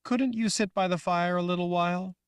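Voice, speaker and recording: A man voicing a frightened, childlike young woman who asks high, anxious, pleading questions in an audiobook reading. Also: a little fast, clear, resonant tone, no room sound, studio quality. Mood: scared pleading.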